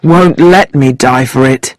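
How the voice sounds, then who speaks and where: Woman voicing a low, quiet man, plain and understated, slow storybook delivery. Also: dry recording, lightly acted.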